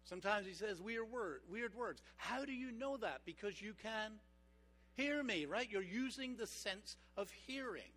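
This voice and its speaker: A man preaching a sermon as pastor, engaging his congregation with rhetorical questions and slowing down for emphasis. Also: high voice, expressive, a bit bright. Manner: slows down for emphatic points.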